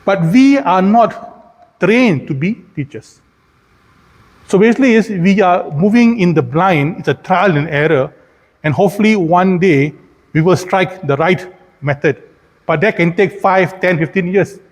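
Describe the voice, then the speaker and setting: Male keynote lecturer, middle-aged, Malaysian accent, higher-pitched voice, long hall reverb. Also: unhurried. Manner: addresses listeners with rhetorical questions. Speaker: keynote speaker, engineering professor. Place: large indoor conference hall, speaking at a podium.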